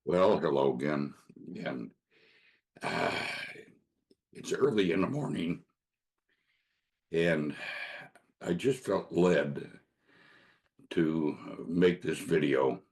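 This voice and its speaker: Brief: hoarse male podcast host, very low gravelly voice, slow and halting, earnest testimony. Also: animated, darkish tone. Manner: halting, with frequent filler pauses. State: hoarse from a sore throat. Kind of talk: casual faith testimony monologue.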